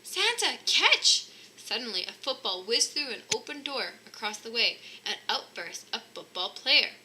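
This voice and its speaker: A woman reading a children's storybook aloud in an animated narrating style. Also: fairly high pitch, moderate pace, rough recording. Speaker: storybook reader. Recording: faint background noise.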